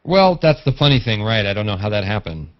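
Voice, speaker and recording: Animated, bantering American man, muffled call audio. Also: lowish voice, fast talker. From California, United States. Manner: joking, bantering. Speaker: hangout guest. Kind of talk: casual group chat. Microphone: video call. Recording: dull, lo-fi video-call audio.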